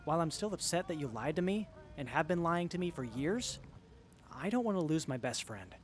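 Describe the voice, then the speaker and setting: High-pitched, lively, earnest young tinkerer played by a man. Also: a little fast, a little hiss or hum. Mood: hurt, earnest. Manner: earnest, geeky, expressive. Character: earnest, excitable young tinkerer in armor, sincere and literal-minded. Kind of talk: audio drama acting.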